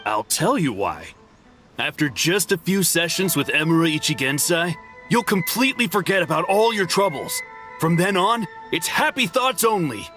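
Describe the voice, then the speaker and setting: A snobbish, touchy devoted client. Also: male voice, on the high side, big pitch swings, moderate pace, fairly bright tone, soft background music. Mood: haughty. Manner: haughty, easily offended. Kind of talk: game voice acting.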